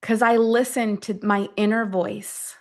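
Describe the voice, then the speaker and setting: A woman leading a guided affirmation meditation, speaking deliberately in short phrases with long pauses between them. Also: medium pitch, steady tone. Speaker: guide of a self-affirmation tapping session.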